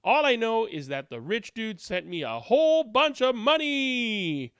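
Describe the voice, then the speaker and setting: Storybook reader, theatrical, emphatic, very wide pitch swings, dry close sound. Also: very animated, unhurried, a bit bright, clear, resonant tone, dry recording. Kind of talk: animated read-aloud narration.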